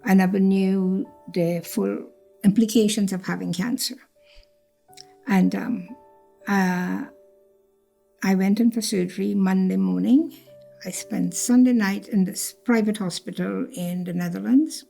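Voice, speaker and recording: An older woman from Trinidad recounts her past in a reflective interview, often repeating herself and voicing the words of others. Her voice is mellow and carries a gravelly fry. Soft music plays faintly behind her. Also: mid-range voice, fairly even intonation, measured pace. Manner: reflective, repeats phrases, acts out quoted speech. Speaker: cancer survivor telling her story. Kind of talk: personal storytelling interview.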